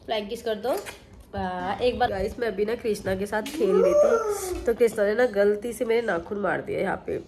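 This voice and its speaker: A young woman, a mother, chatting to the camera at home in a casual family vlog and coaxing her toddler, her voice hoarse from a cold. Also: medium pitch, sing-song, normal speed, a loud crowd. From Himalayan hill region of India.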